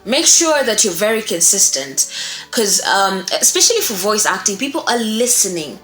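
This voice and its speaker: Casting: young adult woman, emphatic instructor, medium pitch, roomy sound with faint music behind. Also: very animated, normal speed, a bit bright. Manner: emphatic, often checking in with "okay?". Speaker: voice acting instructor, presenter. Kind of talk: instructional talk to camera. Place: small indoor room, seated. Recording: echoey room with faint music.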